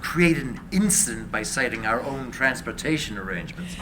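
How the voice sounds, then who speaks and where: Man playing a wry society gentleman, noisy recording. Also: mid-range voice, animated, moderate pace, natural voice. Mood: amused. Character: jovial, wry society husband and ambassador. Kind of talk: live dramatic script reading. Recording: noisy live room recording.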